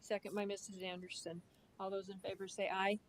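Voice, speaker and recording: A woman's voice: medium pitch, level tone, brisk, a little hiss or hum. A woman chairing a school board meeting in a conference room, casual and joking as she runs the agenda. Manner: casual, plain-spoken and joking.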